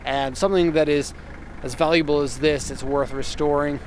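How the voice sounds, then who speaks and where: An upbeat, enthusiastic man in his thirties talks casually while walking around a truck outdoors. His voice sits a little high, picked up on a handheld camera mic. Clear outdoor noise runs under everything he says. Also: fairly even intonation, moderate pace. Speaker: car exporter reviewing a vehicle. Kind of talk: casual walkaround commentary. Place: outdoors in a park by a parked truck.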